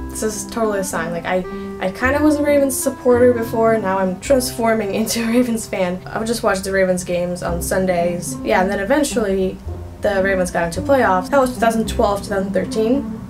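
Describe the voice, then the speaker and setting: A woman's voice: on the high side, fairly quick. Young russian girl vlogging, rambly and chatty, guitar music going behind her. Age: young adult. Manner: chatty, rambling, lots of "like" fillers. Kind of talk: casual chat to camera.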